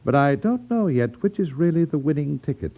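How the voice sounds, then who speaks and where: A man's voice: fairly high pitch, big pitch swings, fairly quick. An actor playing a blustering middle-aged crooked businessman, formal and indignant, in a 1950s radio detective drama. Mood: grave, troubled. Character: blustering, aggrieved crooked racket boss, middle-aged man. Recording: muffled, narrow-band 1950s radio recording.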